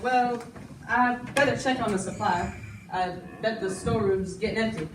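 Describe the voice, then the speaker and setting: A woman's voice: mid-range voice, normal speed, natural voice. Frontier shopkeeper aunt acted by a woman, plain-spoken, folksy, amateur stage play, distant, reverberant room. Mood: matter-of-fact. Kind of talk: amateur stage melodrama acting. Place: school stage, far camera in audience. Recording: echoey hall from far off, noisy.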